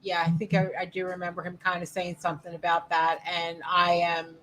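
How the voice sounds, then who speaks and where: A woman's voice: on the low side, monotone, fairly quick, a bit bright, a little hiss or hum, low-quality recording. A woman co-hosting a podcast, chatting casually with short, laughing interjections. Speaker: podcast co-host. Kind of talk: casual chat.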